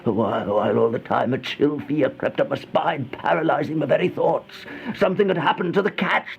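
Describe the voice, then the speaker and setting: Terrified, stammering horror narrator, creaky voice. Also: male voice, on the high side, brisk. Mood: hushed dread, then desperate. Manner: stammering, gasping, overwrought, melodramatic delivery. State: panting, out of breath. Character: terrified, feverish man trapped with monstrous flies, horror narrator. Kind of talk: old-time radio horror monologue. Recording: dull, noisy old radio recording.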